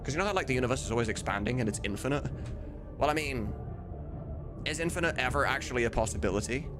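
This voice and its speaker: A man's voice: mid-range voice, lively intonation, quick pace, over music. A man livestreaming in a casual, rambling, sweary chat, often amused by himself. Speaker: live streamer and game modder.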